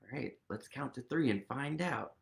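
A man in his thirties reading a picture book aloud to young children, expressive and full of questions for his listeners. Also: medium pitch, normal speed, gravelly. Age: 30s. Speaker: host, museum literacy educator reading aloud. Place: indoors, small quiet room at home.

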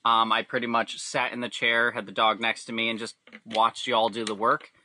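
A man's voice: mid-range voice, flat delivery, sharp tone, a little hiss or hum. A man speaking as a podcast guest in casual, personal storytelling, rambling a little and correcting himself. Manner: rambling, halting, self-correcting. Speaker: podcast guest, hobby camper builder.

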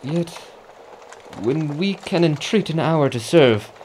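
An actor playing a brooding, conflicted nobleman with deliberate, theatrical verse delivery in a Shakespearean radio drama. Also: fairly high pitch, expressive, a little mellow, slight background noise. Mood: guarded.

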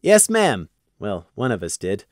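A man voicing a dry, sardonic male sergeant with bat wings, talking deadpan. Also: on the low side, measured pace, dry recording, pitched below the performer's own voice.

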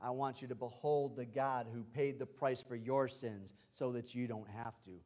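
A man preaching from a church pulpit, his voice strained as he loses it. He swings between ringing, shouted praise and quiet, low passages. His pitch sits in an ordinary middle range. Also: steady tone, normal speed. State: losing his voice. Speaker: pastor. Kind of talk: sermon. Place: church sanctuary.

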